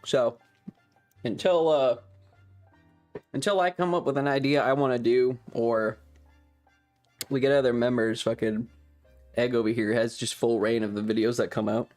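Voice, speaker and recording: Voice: medium pitch, fairly even intonation, moderate pace, long pauses, a little mellow, faint music behind. A streamer chatting casually and informally to a live audience, rambling and thinking aloud. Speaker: streamer and ASMR video creator hosting a livestream. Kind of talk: casual livestream chat.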